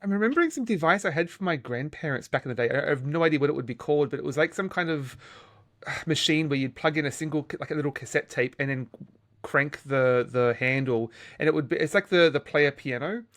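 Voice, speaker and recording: A middle-aged man chatting casually as a podcast host, explaining things in a halting way and repeating words as he goes. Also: fairly high pitch, fairly bright tone. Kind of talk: casual gaming podcast chat.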